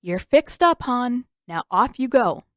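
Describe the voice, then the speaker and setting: A woman's voice: on the high side, sing-song, slow pace, fairly bright tone, no echo, voice pushed up, full character voice. A woman acts out a no-nonsense healer in an audio story. The figure is firm and dismissive, used to being in charge, and sounds close and dry. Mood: brisk, dismissive.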